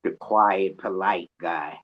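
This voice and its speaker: A man's voice: low voice, steady tone, takes it slowly. A man hosting a casual interview in an informal, colloquial, joking way. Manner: informal, colloquial, self-described loud joker. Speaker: radio show host. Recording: dull lo-fi audio.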